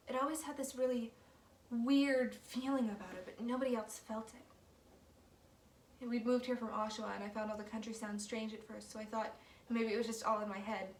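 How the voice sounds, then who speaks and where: A woman acting an uneasy young woman recalling her childhood in a naturalistic stage monologue, with hushed, conversational storytelling. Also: a little high, natural voice. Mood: wary, casual. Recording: roomy, distant mic, faint background noise.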